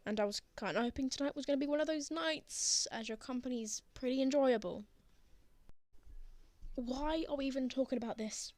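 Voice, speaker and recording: Woman playing a high-voiced, flustered young man. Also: a little mellow, no echo, close to an everyday voice. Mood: awkward, apologetic. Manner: halting, defensive, sardonic. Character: flustered, prickly, lovestruck young man confessing to friend. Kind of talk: intimate ASMR roleplay acting.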